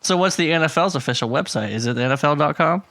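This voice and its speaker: Bright-voiced man chatting on a podcast. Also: on the high side, animated, fast. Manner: reads figures aloud, laughs often. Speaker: podcast co-host. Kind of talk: casual chat.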